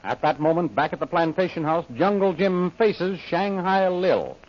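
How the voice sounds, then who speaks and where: A man's voice: high voice, animated, moderate pace. A man narrating and announcing in dramatic, declamatory 1930s radio serial style, reading from a script. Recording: muffled old radio recording, music behind. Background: music.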